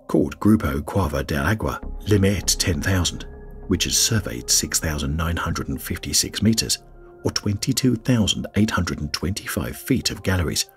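A man reading a scripted documentary narration in a grave, measured way. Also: sits low. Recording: faint music bed under voice-over.

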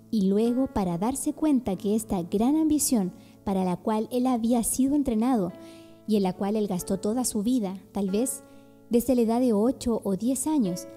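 A woman narrates reflectively, like someone delivering a sermon. Her voice is very dark and mellow, a little high, over faint music.

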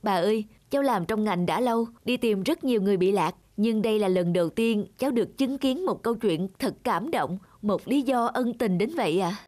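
A woman's voice: mid-range voice, expressive, brisk, hardly any pauses, a little mellow, natural voice. A woman voicing a kind, dutiful young male police officer in a cartoon, polite and reassuring. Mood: earnest, respectful. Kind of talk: cartoon dub acting.

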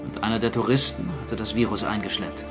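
A man's voice: fairly low pitch, fairly even intonation, brisk, music in the background, lightly acted. Brusque, sardonic male archaeologist acted by a man. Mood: grave, explaining. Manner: sardonic, matter-of-fact, brusque. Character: gruff, wry male archaeologist stranded on island. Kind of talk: naturalistic radio drama acting.

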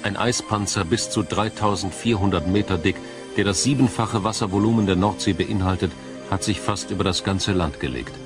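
A man reading a scripted, dramatic documentary narration in a measured, solemn storytelling manner. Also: low voice, over music.

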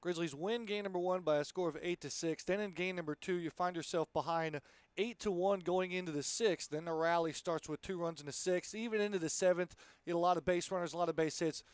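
A man's voice: high-pitched, brisk, smooth, no room sound. A man hosting a postgame sports interview, asking questions and recapping in sportscast style.

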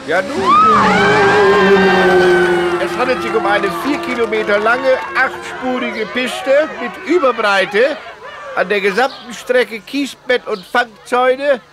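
An actor playing a matter-of-fact small-town man who answers haltingly in a radio comedy interview. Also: extremely high pitch, crowd noise, noisy background.

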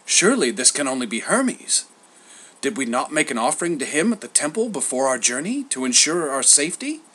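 An innocent young servant boy from a storybook, voiced by a grown man. He is timid and polite, pleading earnestly with a lively, slightly lifted tone. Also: medium pitch, fairly quick, husky, pitched above the performer's own voice.

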